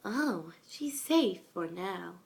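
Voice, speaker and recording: A young woman voicing an evil but shy half-dragon pony creature, slow and gloating, in a story reading. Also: mid-range voice, expressive, gravelly, full character voice. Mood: menacing.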